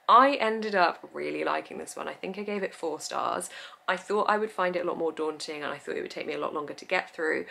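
A woman's voice: lowish voice, gravelly, fairly bright tone. A young woman in her twenties chatting effusively about books, recorded indoors in front of bookshelves. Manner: chatty and effusive, laughs often. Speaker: book vlogger. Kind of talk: casual book review chat. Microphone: camera mic, head-and-shoulders framing.

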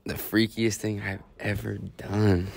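Teenage male interviewee, lower pitch, casual, slangy, a bit hesitant. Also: flat delivery, measured pace, vocal fry, background noise. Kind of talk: casual chat. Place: busy school classroom.

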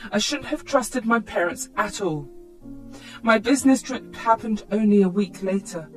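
A woman's voice: medium pitch, poor audio. Woman narrating a dramatic story over music. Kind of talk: scripted first-person dramatic story narration.

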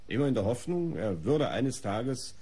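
An actor playing a defensive, disdainful art patron under police questioning in a German radio crime play, articulate, formal and self-justifying. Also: male voice, mid-range voice, very animated, moderate pace, mellow, slightly rough. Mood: defensive, indignant.

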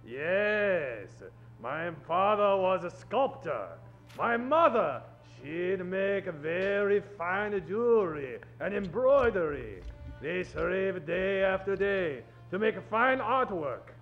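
A man playing a cultured, proud gentleman detective in old-time radio style, measured and formal. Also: high voice, expressive, highs cut off, faint music behind, exaggerated voice. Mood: dignified, reminiscing. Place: stage of a small theatre. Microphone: vintage-style stand microphone.

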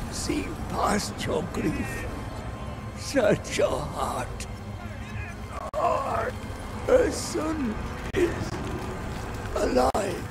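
Old scheming scholar, grave game-cinematic delivery, slow with dramatic swings over music. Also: male voice, sits high, creaky, heavily acted. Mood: impassioned pleading. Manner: grave, theatrical, deliberate. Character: weary, scheming old scholar cursed to serve a tome. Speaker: narrator and advisor character.

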